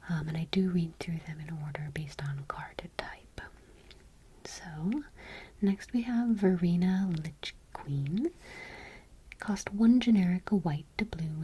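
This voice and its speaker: A woman host speaking in a soft, breathy whisper, gently and without hurry, reading text aloud in ASMR style. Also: fairly low pitch.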